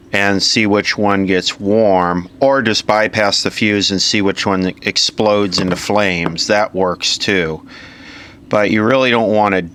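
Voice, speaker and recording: A man's voice: deep voice, a bit bright, smooth. A man giving an informal hands-on repair tutorial, matter-of-fact and thinking aloud piece by piece as an instructor.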